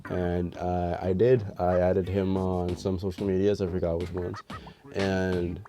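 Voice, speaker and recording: A man's voice: low voice, little pitch movement, a little slow, music in the background. A young man telling rambling, profane storytime anecdotes in a casual vlog, sounding nasal because he is sick. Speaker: storytime vlogger. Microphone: dedicated voice mic.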